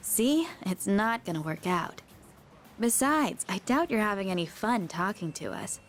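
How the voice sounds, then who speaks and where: Woman acting aloof young woman, blunt, dismissive, video game dialogue, medium pitch, faint music. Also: lively intonation, unhurried, close to an everyday voice. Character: aloof, prickly young working woman on holiday.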